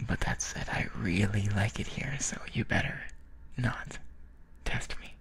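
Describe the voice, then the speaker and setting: A man playing a breathy, whispering shy boyfriend. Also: lowish voice, heavily acted. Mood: earnest, soft. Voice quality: soft, breathy, often whispered. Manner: hesitant, halting, intimate. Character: shy, tender young man, longtime friend in love. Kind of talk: improvised boyfriend roleplay ASMR.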